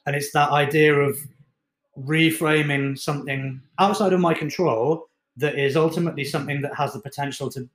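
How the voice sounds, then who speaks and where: A man's voice: a little high, steady tone, normal speed, fairly bright tone. A man, a fitness coach giving an earnest, encouraging talk on mindset. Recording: echoey room.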